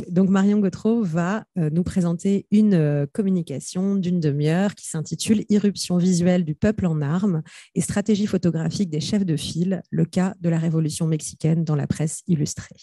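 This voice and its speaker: A woman's voice: lowish voice, normal speed, warm tone, no echo. A woman chairing an academic panel, introducing a speaker with many hesitations and fillers. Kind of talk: introducing a speaker at a research conference.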